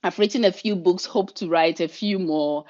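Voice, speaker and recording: A woman with a Ghanaian accent leads an online workshop, talking fast and energetically in a fairly low voice. Her sound comes through a video call and is muffled and lo-fi. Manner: fast, energetic, chatty delivery. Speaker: leadership coach and workshop leader.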